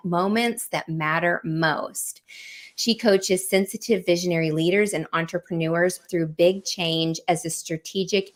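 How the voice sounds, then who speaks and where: A woman hosting a business podcast, reading an upbeat intro and asking interview questions with enthusiasm. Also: fairly low pitch. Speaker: podcast host.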